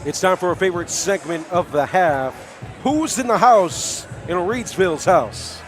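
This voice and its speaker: A male sports announcer with a fairly high voice works a live basketball broadcast. He projects in an upbeat, chatty way, moving between play-by-play and game summary from a high school gym. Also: animated, measured pace, background noise.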